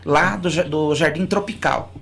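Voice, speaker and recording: A woman's voice: low voice, moderate pace, vocal fry, crowd noise. A school director from Brazil, a guest on a radio interview. She explains in long, earnest runs and often restarts her sentences. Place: radio studio.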